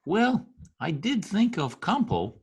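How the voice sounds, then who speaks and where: A man's voice: high voice, huge pitch swings, slow pace, creaky, slightly rough, full character voice. A man playing a deferential, persuasive political adviser who schemes for a dictator in an old-time radio comedy. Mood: deferential, insistent. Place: home room, recorded over video call.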